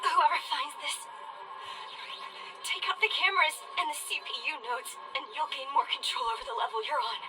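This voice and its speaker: An actress playing a terrified, grieving space station survivor in a game audio log, halting and breathy. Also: female voice, sits high, lively intonation, distant mic, over music, low-quality recording. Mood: weary warning.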